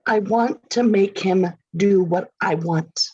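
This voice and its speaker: Desperate rich wife acted by a woman, husky, intense, insistent, dull lo-fi recording, drama table read. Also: medium pitch, slow, darkish tone. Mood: feral, determined. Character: desperate, controlling wealthy wife. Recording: dull, lo-fi audio.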